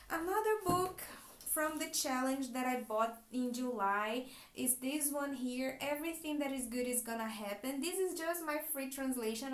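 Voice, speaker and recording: A Brazilian woman, a native Portuguese speaker talking in English with a Brazilian Portuguese accent, chats in a friendly way about her new books, thinking aloud as she goes. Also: sits high. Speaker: book vlogger and private teacher. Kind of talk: casual book haul vlog. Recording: echoey room.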